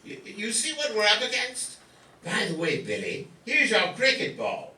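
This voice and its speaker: A man's voice: sits high, huge pitch swings, moderate pace, bright, forceful tone, exaggerated voice. A man playing a worried, dryly exasperated country vicar in an amateur comic radio play, formal and emphatic. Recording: echoey room, distant microphone.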